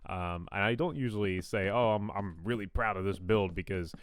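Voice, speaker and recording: A young man with a fairly deep radio-style voice, chatting casually and rambling with self-deprecating asides while he builds in a game. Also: normal speed, slight background noise. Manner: rambling, self-deprecating, frequent ums and restarts. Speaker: gaming YouTuber. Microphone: new studio condenser mic.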